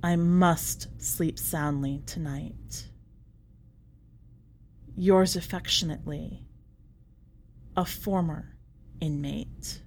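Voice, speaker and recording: A woman voicing a despairing, obsessive imprisoned inmate in a dramatic story reading, solemn and incantatory, with deliberate pauses. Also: low voice, fairly even intonation, darkish tone, slight background noise, heavily acted. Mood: tender, resigned.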